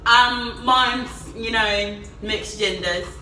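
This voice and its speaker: A young woman telling frank stories during a drinking game, sometimes shouting, at a table in a small apartment. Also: on the high side, drawn-out words. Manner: candid storytelling, bursts of shouting. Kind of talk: casual party game chat. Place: small apartment dining room. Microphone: far camera. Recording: echoey room from far off, music behind.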